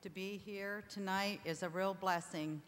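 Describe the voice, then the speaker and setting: An older woman giving an informal thank-you speech from a stage at a stand microphone, with halting, deliberate phrasing. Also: medium pitch, steady tone, a bit bright. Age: older, 60s or above. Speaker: charity founder giving thanks. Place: theatre stage before an audience. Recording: reverberant hall sound.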